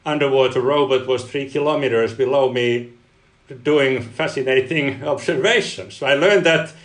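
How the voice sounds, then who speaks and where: A man whose first language is Finnish, a guest researcher answering interview questions in a halting way, often repeating words. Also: medium pitch, a bit bright, reverberant. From Finland. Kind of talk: podcast interview.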